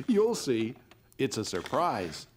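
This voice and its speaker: An actor playing a gentle, patient young father in a children's cartoon, soothing and a little teasing. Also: mid-range voice, wildly swooping pitch, drawn-out words, husky, exaggerated voice. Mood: playful. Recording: music under the voice.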